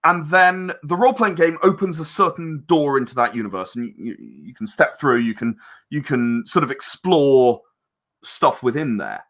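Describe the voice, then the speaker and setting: A man's voice: fairly high pitch, expressive, moderate pace, fairly bright tone. A man talking casually as an interview guest about his creative work, chatty and rambling as he explains. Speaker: interview guest, game and audio drama creator.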